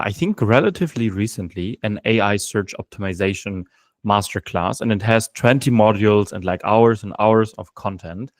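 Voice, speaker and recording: A man hosts a podcast, asking long, winding questions and nodding along with short "got it"s. His voice sits fairly low. The recording is dry, with no room sound. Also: no echo. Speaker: podcast host. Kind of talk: podcast interview.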